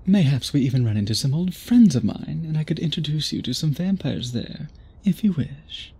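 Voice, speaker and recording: A man playing an obsessive, courtly vampire suitor in an intimate ASMR roleplay, breathy and husky, with formal old-fashioned phrasing. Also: on the high side, expressive, moderate pace, warm tone, exaggerated voice. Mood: delighted, gentle. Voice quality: breathy, husky, often whispery.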